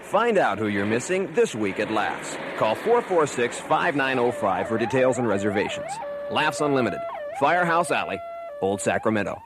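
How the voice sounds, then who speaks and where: Male announcer for eighties club ad, middle register, animated read, clearly noisy background. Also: mid-range voice, normal speed. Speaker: promo announcer. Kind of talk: scripted 1980s radio ad read. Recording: noisy old promo with siren.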